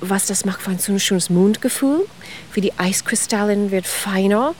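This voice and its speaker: A woman's voice: medium pitch, moderate pace. Woman, explains history with keen enthusiasm, small grammar slips, a bit of background noise. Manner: non-native German with grammar slips, enthusiastic, explains step by step. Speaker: ice cream history expert. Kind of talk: explanatory interview talk.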